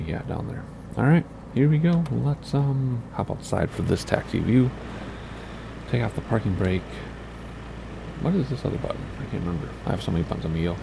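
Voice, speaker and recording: A low, gravelly man talks his way through a flight sim run, chatty and thinking aloud as he goes. His voice is raspy, and a steady hiss of noise sits under the recording. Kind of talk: casual gameplay commentary.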